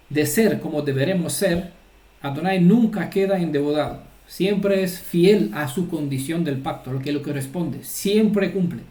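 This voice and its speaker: A man's voice: on the high side, warm tone, echoey room. A man giving an informal, earnest religious teaching and often checking that his listeners follow. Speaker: Torah teacher.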